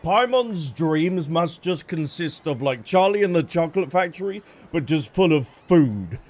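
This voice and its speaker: Animated, chatty game streamer. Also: medium pitch, unhurried. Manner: jokey, playful, chatty. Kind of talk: casual reaction commentary on a game. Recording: faint game music behind.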